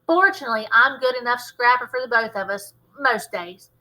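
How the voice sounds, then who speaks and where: Expressive woman reading aloud, very bright voice. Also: on the high side, brisk, rough recording. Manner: animated, dramatic phrasing. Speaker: teacher reading a novel aloud. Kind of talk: expressive storybook narration.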